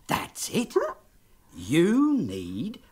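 A woman's voice: deep voice, wildly swooping pitch, very slow, a little mellow, husky, full character voice. A woman voicing a fretful, coaxing male zookeeper in a children's story. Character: fussy caring male zookeeper.

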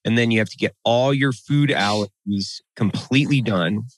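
A man co-hosting a podcast in casual, profane banter, blunt and animated, talking into a boom mic in a studio room. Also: normal speed, no echo. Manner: blunt, animated, swears freely. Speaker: podcast co-host. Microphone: studio mic on boom arm.

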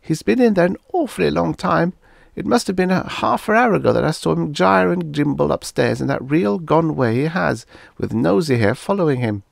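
Man doing a high-pitched, chattering teenage girl. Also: animated, normal speed, creaky, no room sound, studio quality, full character voice. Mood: chatty. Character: coltish, poised adolescent girl, professor's daughter. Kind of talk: storybook character reading.

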